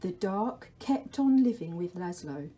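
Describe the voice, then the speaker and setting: Female primary teacher for story time. Mellow, relaxed tone, very measured and gentle read-aloud. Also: on the low side.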